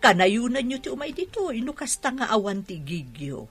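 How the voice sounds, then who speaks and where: A woman acting a kindly, welcoming older mother with a husky voice in an Ilocano radio drama. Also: a little high, very animated, moderate pace, soft background music. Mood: grateful.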